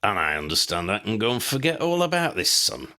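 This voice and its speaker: A man voicing a gruff, shady middle-aged wizard dealer who talks in rough slang. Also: fairly low pitch, very animated, fairly quick, sharp tone, exaggerated voice. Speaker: voice actor.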